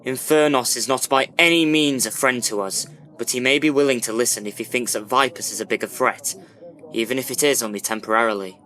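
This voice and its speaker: An actor playing an earnest, persuasive leader of celestial warriors in a fantasy audio drama, grave and reasoning. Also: male voice, medium pitch, steady tone, fairly quick, bright, forceful tone, natural voice, low-quality recording.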